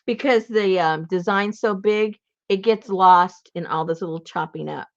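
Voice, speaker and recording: A woman's voice: mid-range voice, a little slow, dull audio. Chatty woman showing craft papers. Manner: chatty, laughs often, shows papers to camera. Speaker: host selling journal kits. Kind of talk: casual live craft show.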